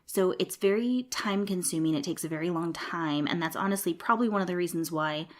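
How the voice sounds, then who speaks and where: A woman with a slightly low voice hosts her own podcast, explaining things in a chatty, friendly way and repeating points for emphasis. A cold leaves her a bit snuffly and congested. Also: fairly quick. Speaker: podcast host. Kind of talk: casual explanatory podcast monologue. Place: a small home recording room.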